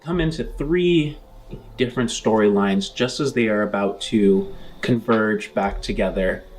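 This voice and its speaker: A man's voice: lowish voice, measured pace, noisy background. A man hosting a game podcast as game master in a casual, conversational style.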